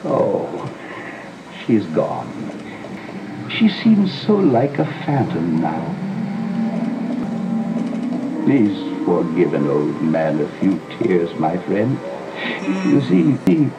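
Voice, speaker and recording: A man playing a sickly, melancholy old aristocrat in a gothic audio drama, halting and theatrical, recorded on an old cassette. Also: lowish voice, background noise, full character voice. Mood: sorrowful. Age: older. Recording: dull old cassette dub with music. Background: music.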